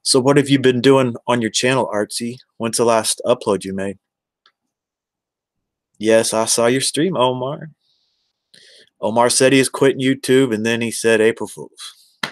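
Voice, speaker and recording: A man's voice: medium pitch, fairly even intonation, measured pace, long pauses, dry recording, studio quality. An American man in his forties chatting casually on a livestream, rambling and addressing viewers one by one. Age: 40s. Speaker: live streamer.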